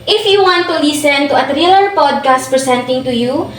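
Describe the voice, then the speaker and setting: High-pitched woman DJ, echoey and distant. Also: animated, normal speed, fairly bright tone, slight background noise. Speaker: radio DJ, presenter. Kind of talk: scripted programme introduction. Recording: echoey room, distant from the mic.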